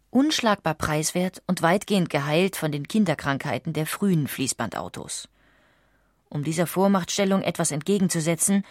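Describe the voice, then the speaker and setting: A woman reading scripted documentary narration with measured, precise enunciation. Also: fairly low pitch, a little mellow, no room sound, studio quality.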